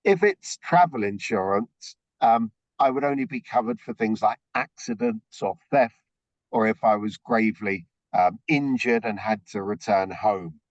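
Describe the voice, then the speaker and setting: A man with a slightly croaky voice briefing a group on a video call, explaining things step by step and checking in with frequent okays. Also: fairly low pitch, measured pace, no room sound, dull audio. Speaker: orientation presenter.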